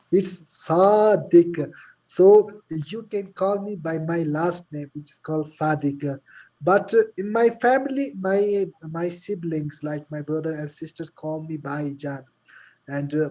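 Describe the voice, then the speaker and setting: A man's voice: a little high, warm tone, poor audio. A man from Pakistan with a Pakistani accent, a Pashto speaker, talking hesitantly with many fillers on a video call. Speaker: chat participant. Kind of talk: casual video call chat.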